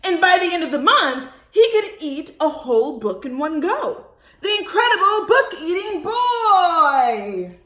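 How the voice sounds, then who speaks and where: High-pitched woman reading to children, echoey room. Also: big pitch swings, a bit bright. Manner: exclamatory, dramatic emphasis, drawn-out words, questions to listeners. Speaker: children's story time host. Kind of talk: animated read-aloud for young children.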